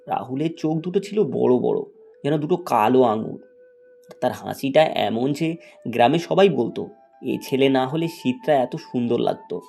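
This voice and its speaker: Bright-voiced man telling a fairy tale. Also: medium pitch, creaky. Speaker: storyteller, narrator. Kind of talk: scripted fairy-tale narration.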